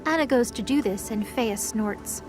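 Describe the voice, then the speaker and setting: A woman's voice: fairly high pitch, moderate pace, faint music behind, close to an everyday voice. A woman narrator reading scripted third-person narration for an audio drama.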